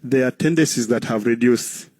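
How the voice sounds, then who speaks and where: Mellow-voiced Ugandan man explaining. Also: medium pitch, normal speed, dry recording. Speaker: guest, cooperative trainer. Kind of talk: interview answers, explanatory.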